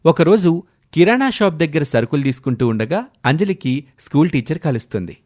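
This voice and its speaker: A man's voice: on the high side, expressive, brisk, a little mellow. A man narrating a scripted moral story as its storyteller.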